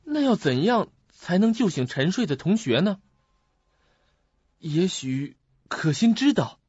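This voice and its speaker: An actor in an audio drama playing an earnest, puzzled young student who questions and muses to himself. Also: male voice, sits high, very animated, a little slow, darkish tone, husky, dry recording, heavily acted. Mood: suspicious musing. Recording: muffled lo-fi audio.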